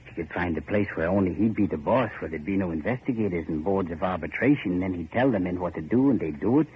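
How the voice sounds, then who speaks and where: A grim boatman with a very low voice and a foreboding, cryptic air. He talks in folksy, clipped phrases in the manner of vintage radio horror. The recording is muffled and noisy, like an old broadcast. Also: lively intonation, fast. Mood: intense, bitter. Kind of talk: 1940s radio horror acting.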